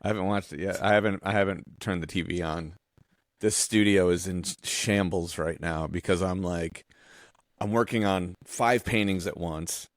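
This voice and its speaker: A man's voice: low-pitched, fairly even intonation, normal speed, dry recording, studio quality. A man in casual podcast chat, talking in a blunt, slangy, informal way. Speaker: podcast co-host, painter.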